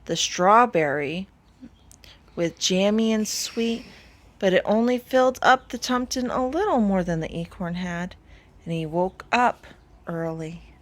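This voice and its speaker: Slow-paced woman reading a storybook. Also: medium pitch, animated. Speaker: storybook reader. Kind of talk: expressive children's read-aloud narration.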